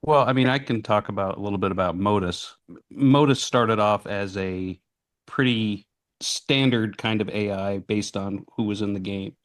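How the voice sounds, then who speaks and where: A man speaking casually as a voice actor on a panel, measured and thoughtful, sometimes repeating words as he tells anecdotes. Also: on the low side.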